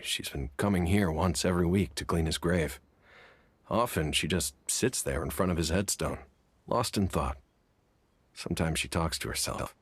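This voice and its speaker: A man's voice: low voice, husky, heavily acted. A man playing a sympathetic, plain-spoken townsman in a video game, talking in a wistful, conversational way. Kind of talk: video game character acting. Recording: music behind the voice.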